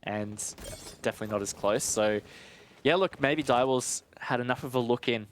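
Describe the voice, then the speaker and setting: A man with a medium-pitched voice offers analytical esports commentary. He talks in a measured, conversational manner over faint background noise.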